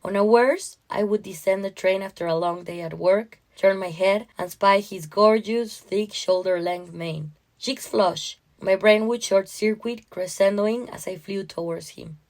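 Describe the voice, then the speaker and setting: A woman reading a first-person literary short story aloud, with expressive, emotionally intense delivery. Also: mid-range voice, a little slow, few pauses.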